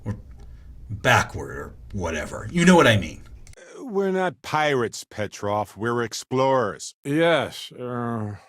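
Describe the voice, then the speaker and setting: An actor playing an affable, witty, talkative space explorer in a video game, with an expressive, wry, folksy way of speaking. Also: male voice, medium pitch, slow, pauses often, no echo, clean studio sound, full character voice. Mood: casual, conversational. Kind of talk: scripted video game character dialogue.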